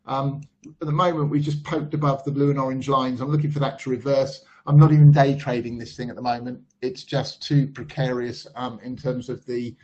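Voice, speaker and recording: A man at mid pitch walks through price charts in an unhurried, thinking-aloud way, filling gaps with ums. His voice is getting hoarse. The recording sounds slightly dull and lo-fi. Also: little pitch movement, a little mellow. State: losing his voice. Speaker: market analyst. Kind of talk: screen-share chart commentary.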